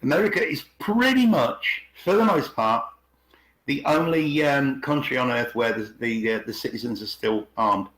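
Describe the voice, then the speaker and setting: A man's voice: medium pitch, expressive, normal speed, fairly bright tone. Middle-aged man, opinionated casual talk, emphatic rephrasing, roomy echoey online call.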